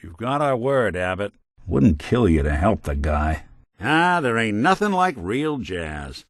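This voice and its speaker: A man's voice: fairly low pitch, big pitch swings, unhurried, dry recording. An actor playing a world-weary male synth detective in a video game, delivering dry, sardonic quips in a noir drawl. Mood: wry. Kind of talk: video game companion voice lines. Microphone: close studio mic.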